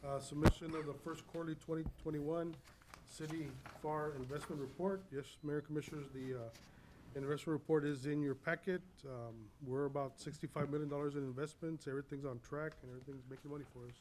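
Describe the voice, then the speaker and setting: A man's voice: on the high side, fairly even intonation, brisk, a little mellow, a little hiss or hum, poor audio. A man speaking as a city official, delivering a report at a public meeting. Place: city commission meeting room.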